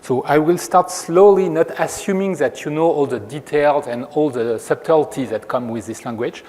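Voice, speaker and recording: A man from France with a French accent, giving a measured technical lecture as a researcher at a conference. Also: on the high side, a little mellow. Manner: measured, explanatory, frequent restarts. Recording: long reverb of a hall.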